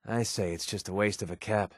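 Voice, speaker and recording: Wry American mercenary, dry close sound. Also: male voice, on the low side, fairly even intonation, a little fast, dry recording. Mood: wry, casual. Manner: sardonic, casual American slang. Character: wry young male mercenary sniper companion, cynical but loyal. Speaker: video game companion character. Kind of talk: scripted game voice acting.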